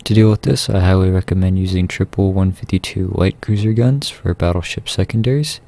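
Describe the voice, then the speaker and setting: A man reading a scripted video game guide in a measured, instructional way. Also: sits low, creaky, mellow. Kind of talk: scripted guide narration over gameplay.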